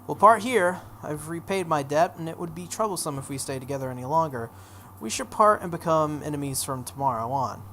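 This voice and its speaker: A sharp-tongued teenage girl mage from a visual novel, as a playthrough reader puts her on. She speaks bluntly and dismissively in a mid-range voice, as if scolding. Faint noise sits behind her. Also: mid-range voice, expressive, fairly quick. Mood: cool, blunt.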